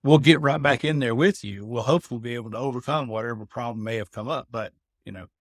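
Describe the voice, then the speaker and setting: A man's voice: medium pitch, fast talker, dry recording, clean studio sound. Man explaining with frequent word repeats. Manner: repeats words as he thinks, often asks "you know what I mean?". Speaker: guest, building automation integrator veteran. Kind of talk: casual explanatory conversation.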